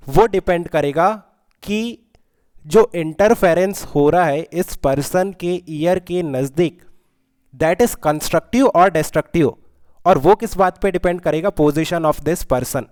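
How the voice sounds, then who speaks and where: A man's voice: fairly high pitch, darkish tone, smooth, dry recording. A man with an Indian accent explaining step by step as a physics teacher, switching between two languages and checking in with short tag questions. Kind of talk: explanatory lesson, code-switching between Hindi and English.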